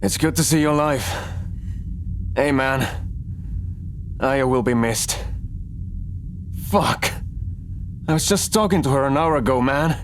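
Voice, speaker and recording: An actor playing a laid-back, friendly crewmate in a sci-fi audio drama, talking in a casual, slangy way. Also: male voice, medium pitch, unhurried, pauses often, a little hiss or hum, natural voice. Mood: sorrowful.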